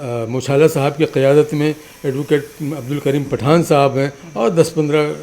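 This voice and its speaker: A man's voice: fairly high pitch, a little mellow. A man talks as a podcast guest. He argues like an orator, stressing his points and stacking parallel phrases for weight. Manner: emphatic, oratorical, repeats phrases in parallel for effect. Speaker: podcast guest, community activist. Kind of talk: impassioned political interview talk.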